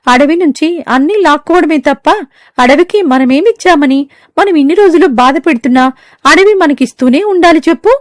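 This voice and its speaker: A woman gives voice to an earnest cartoon bird with a high, bright-pitched voice that pleads and reproaches with passion. The recording is close and dry. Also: a little fast, no room sound, lightly acted. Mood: reproachful.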